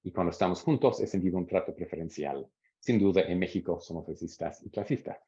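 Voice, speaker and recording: Deep voiced guy presenting at a seminar, measured, kinda muffled lo-fi sound. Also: mellow. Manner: measured, reads quotes from interviews. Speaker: academic presenter. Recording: dull lo-fi audio.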